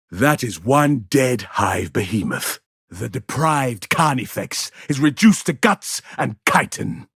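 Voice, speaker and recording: An actor playing a stern, righteous space-marine warrior in a video game, delivering pompous battle declarations in a gravelly, husky voice. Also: male voice, a little high, a bit bright, no room sound, clean studio sound, exaggerated voice. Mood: grimly satisfied.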